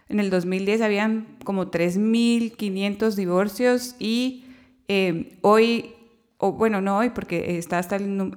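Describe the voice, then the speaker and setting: A woman's voice: mid-range voice, a little mellow. Woman hosting a faith podcast. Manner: hesitant, many fillers and restarts. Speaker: podcast host.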